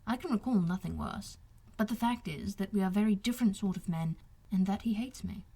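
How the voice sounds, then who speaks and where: A woman voicing a wronged young gentleman officer in an audiobook, talking in a formal, earnest, confiding way. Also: medium pitch, a little fast, husky. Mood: aggrieved. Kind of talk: audiobook character dialogue.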